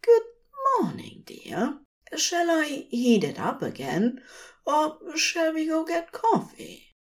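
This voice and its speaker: A woman's voice: high-pitched, sing-song, slow pace, voice pushed up, heavily acted. A woman voicing a fussy, tender old male angel who speaks in prim, wordy, old-fashioned phrases. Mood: fond, practical.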